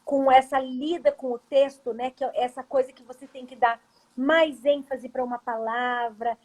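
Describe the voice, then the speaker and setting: A woman's voice: high voice, steady tone, moderate pace, a little mellow. A Brazilian woman, a theatre director, talking casually as an interview guest on a video call. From Brazil, Curitiba.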